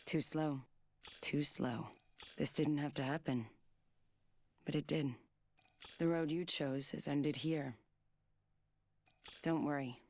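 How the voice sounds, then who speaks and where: Low, gravelly, cool female fighter. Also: fairly even intonation, slow pace, long pauses, a little mellow, exaggerated voice. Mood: calm menacing. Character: cool, stealthy female fighter, calm and menacing. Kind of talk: video game character voice lines.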